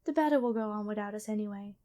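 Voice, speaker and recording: Mellow, gentle angel healer voiced by a woman. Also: mid-range voice, very fast, close to an everyday voice. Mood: friendly, curious. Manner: gentle, soft-spoken, apologetic, hesitant. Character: gentle female angel battlefield medic, former nun, kind. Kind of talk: ASMR roleplay, spoken to the listener.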